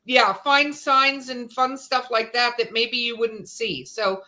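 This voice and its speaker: Bright chatty woman co-hosting a podcast. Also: a little high, steady tone, slow pace. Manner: chatty, gives advice with fillers. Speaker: podcast co-host. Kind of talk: casual chat.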